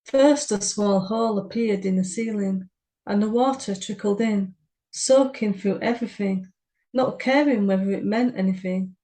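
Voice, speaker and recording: Woman poet, warm mellow tone, measured reading, dry wit. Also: mid-range voice, low-quality recording. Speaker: podcast co-host and poet. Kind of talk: reading her own poem and casual chat.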